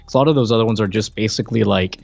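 A man co-hosting a podcast in casual, unscripted chat, with a halting, rambling delivery full of repeated words and stammers. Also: lowish voice, gravelly, darkish tone, breathy edge, no room sound, soft background music. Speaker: podcast co-host reviewing retro video games.

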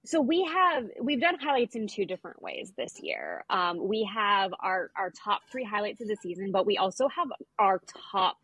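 Husky woman in casual chat, close and dry. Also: medium pitch, huge pitch swings, moderate pace, few pauses, fairly bright tone, dry recording, rough recording. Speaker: podcast co-host.

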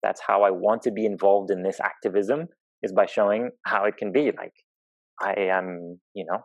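Low-voiced, thoughtful man from Zimbabwe. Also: fairly even intonation, no echo, lo-fi sound. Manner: thoughtful, halting, searching for words. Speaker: interview guest, professional climbing route setter. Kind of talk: reflective conversation.

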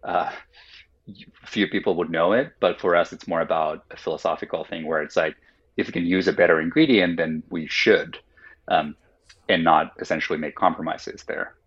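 This is a deep, husky man whose first language is Finnish, talking through his thinking in a thoughtful, roundabout way full of "like"s. The audio is dull and lo-fi. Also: steady tone, normal speed, fairly bright tone. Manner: thoughtful, explains at length with many "like"s. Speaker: guest, company founder. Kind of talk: casual podcast interview.

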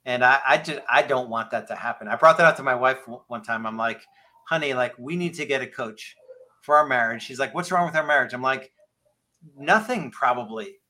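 Bright-voiced man of fifty hosting a podcast. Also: medium pitch, fairly quick. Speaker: podcast host. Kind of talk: casual conversational podcast interview.

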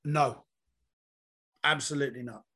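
A man's voice: mid-range voice, fairly even intonation, a little slow, bright, forceful tone. A man, a comedian being interviewed, talking in a reflective, rambling storytelling way. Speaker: comedian guest. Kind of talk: casual interview chat.

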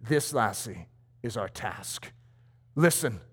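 A man's voice: fairly low pitch, animated, slow pace, breathy edge, voice pushed down, full character voice. A preacher reading as a solemn old missionary man. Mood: solemn, assured. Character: old male missionary statesman, visionary and assured. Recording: long hall reverb.

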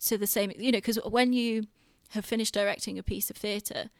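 A woman's voice: mid-range voice, steady tone, fairly quick, no room sound, studio quality. A British woman chatting casually on a podcast in an informal, self-deprecating way. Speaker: podcast host and theatre director.

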